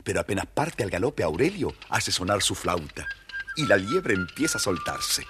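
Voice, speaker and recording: Un hombre que narra un cuento infantil de forma teatral y juguetona, con voz ronca y velada. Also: male voice, deep voice, sing-song, normal speed, over music. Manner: theatrical, playful. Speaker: storyteller narrator. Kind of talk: expressive scripted children's story narration.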